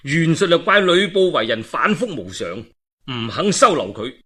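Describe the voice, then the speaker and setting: A man's voice: a little high, moderate pace, husky. A man with a Cantonese accent telling a historical tale in a colloquial, dramatic oral storytelling style. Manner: colloquial, animated, dramatic asides.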